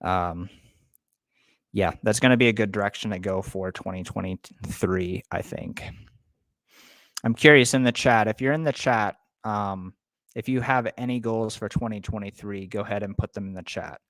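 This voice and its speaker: A young man hosting a casual live stream, chatting loosely with viewers and filling pauses with lots of ums. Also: fairly low pitch, no room sound. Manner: relaxed, many ums, greets chat viewers. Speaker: livestream host. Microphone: webcam setup.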